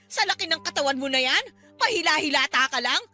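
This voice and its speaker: Shrill, fed-up, defiant wife. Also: female voice, expressive, fast talker, sharp tone, soft background music, full character voice. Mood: bitter scolding. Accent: Filipino. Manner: scolding, indignant, emotional. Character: fed-up, defiant, hard-working wife and mother. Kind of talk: emotional Filipino radio drama acting.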